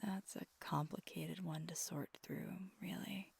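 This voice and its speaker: A woman playing an affectionate, gentle vampire girlfriend in an ASMR roleplay, speaking in a soft, breathy, intimate whisper. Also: low voice, fairly even intonation, a little hiss or hum, full character voice. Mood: quietly confiding. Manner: slow, hushed, intimate.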